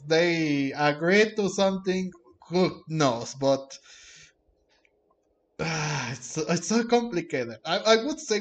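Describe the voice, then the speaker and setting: A man giving casual, halting game commentary and reactions, often with a chuckle. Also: high voice, muffled recording. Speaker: gameplay streamer.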